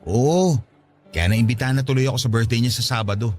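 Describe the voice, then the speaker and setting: A man's voice: mid-range voice, fairly quick, darkish tone, natural voice. An actor playing an easygoing, playful husband in his thirties who gets defensive with his wife, in a radio drama. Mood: coaxing, defensive. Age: 30s. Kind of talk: Tagalog radio drama acting.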